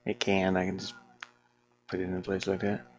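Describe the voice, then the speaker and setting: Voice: deep voice, monotone, moderate pace, slight background noise. A game developer talking casually to themselves while they work, muttering with playful asides. The speaker's voice could be a man's or a woman's.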